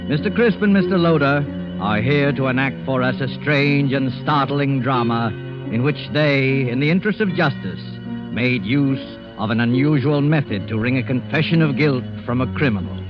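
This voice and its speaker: Formal male radio host over loud music. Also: mid-range voice, normal speed. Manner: formal, suspenseful. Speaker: host of a thriller anthology. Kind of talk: 1940s radio scripted narration. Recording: dull 1940s radio recording.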